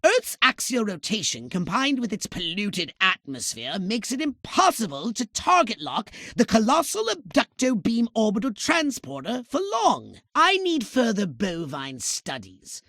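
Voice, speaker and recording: A tiny, haughty alien commander for a video game. The voice is piercing and sharp, leaping dramatically in pitch, recorded very dry and close. The delivery is theatrical, scolding and impatient, with pompous, clipped formal diction. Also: male voice, wildly swooping pitch, unhurried, few pauses, no room sound, exaggerated voice. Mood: pompous instructing. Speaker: mission controller giving orders.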